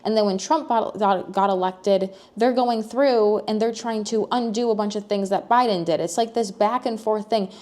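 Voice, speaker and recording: A young American woman chats to her camera as if to a friend, mid-pitched and unforced. She rambles and restarts, piling on fillers as she works her thoughts out aloud. Her voice lifts at the ends of questions. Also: moderate pace, few pauses, a little mellow. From United States. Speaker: vlogger. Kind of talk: casual personal vlog.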